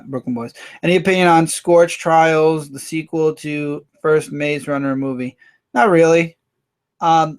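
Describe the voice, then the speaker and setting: A man hosting a casual livestream, rambling through viewer comments with quick asides. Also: on the high side. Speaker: livestream host.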